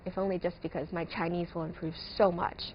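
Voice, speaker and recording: A woman's voice: lowish voice, flat delivery, fast talker. A fifteen-year-old girl from Singapore giving eager, chatty answers as an interview guest. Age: 15, teenager. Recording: dull lo-fi sound with clear noise.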